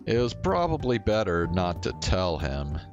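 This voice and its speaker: Low-voiced, unhurried man. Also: sing-song, dull audio, music in the background. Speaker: streamer. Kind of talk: reading visual novel text aloud, with casual asides.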